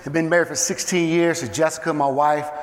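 Slightly hoarse man in a reverberant chapel. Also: a little high, fairly quick. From South Carolina. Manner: energetic, conversational, says um and uh. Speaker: guest chapel speaker and youth football coach. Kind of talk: casual chapel talk to students. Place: campus chapel.

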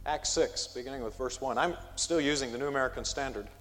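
Male pastor, mid-pitched, measured pulpit delivery with emphatic rises, long church reverb. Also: a bit bright. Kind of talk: expository sermon. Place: church sanctuary.

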